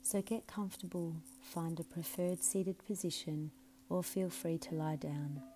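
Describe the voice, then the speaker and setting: A woman leading a scripted guided meditation in a soothing, measured way, her phrases often softening into breathiness. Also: fairly low pitch, steady tone. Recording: clearly audible background hum and noise.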